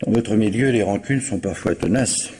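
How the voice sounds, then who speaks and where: Man acting police commissioner, very low mellow voice, dry, insinuating, probing, 1950s-style radio mystery. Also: moderate pace, a little hiss or hum, natural voice. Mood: insistent. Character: probing, dryly insistent male police commissioner.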